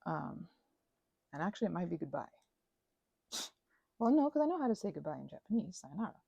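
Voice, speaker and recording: A woman's voice: lowish voice, big pitch swings, brisk, gravelly, dark tone, breathy edge, no room sound, dull audio. A woman in her thirties rambling casually and digressively, with a sarcastic, self-deprecating streak. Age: 30s. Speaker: crafting vlogger and ASMR creator.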